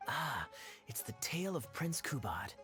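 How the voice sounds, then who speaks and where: An actor playing a suave, teasing young knight in a video game, with a smooth, wry, theatrical delivery. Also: male voice, on the high side, very animated, unhurried, pushed and bright, soft background music, full character voice. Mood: relaxed, storytelling. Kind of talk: video game character dialogue.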